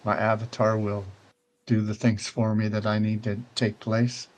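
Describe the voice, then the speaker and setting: A man's voice: on the low side, little pitch movement, normal speed. A retired older man hosting a casual show, touring a virtual world and chatting in a rambling, conversational way, often checking in with the listener. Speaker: show host.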